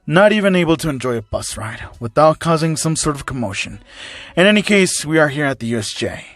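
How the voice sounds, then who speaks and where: A man's voice: on the high side, big pitch swings, fairly quick. A man voicing a grumpy, weary male teacher in a story, curt and grumbling. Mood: grumpy, stern.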